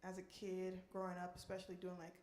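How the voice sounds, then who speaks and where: A woman's voice: on the low side, monotone, quick pace, a little mellow. An American woman hosting a live question-and-answer session as a singer, talking casually to an audience. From New Orleans, USA. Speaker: singer and park ranger hosting a concert Q&A. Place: indoor museum performance space. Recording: echoey room, live event microphone.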